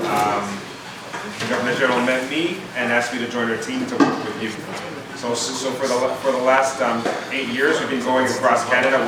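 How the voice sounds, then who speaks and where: Earnest man addressing a crowd, echoey. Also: medium pitch. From Haiti, raised in Montreal. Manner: earnest, restarts phrases often, polls the crowd. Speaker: foundation founder speaking to youth. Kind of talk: informal speech to a live audience. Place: event room with an audience. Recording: echoey room, distant mic, noisy.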